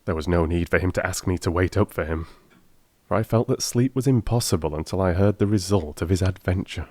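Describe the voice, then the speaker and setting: A man's voice: deep voice, brisk, a little mellow, no room sound. A man narrating an audiobook in the first person, reading scripted prose in a dramatic style.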